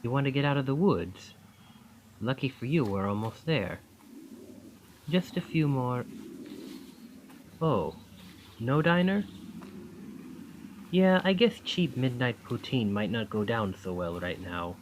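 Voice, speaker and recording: Charming young student with an otherworldly possessive streak, played by a male actor; mid-pitched, slow and coaxing, intimate ASMR roleplay delivery. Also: animated, slight background noise. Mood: friendly, coaxing.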